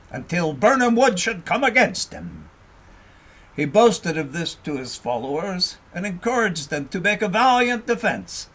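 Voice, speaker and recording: Animated, higher-pitched male storyteller. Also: slightly rough. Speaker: audiobook narrator and host. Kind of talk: expressive storybook-style narration of history.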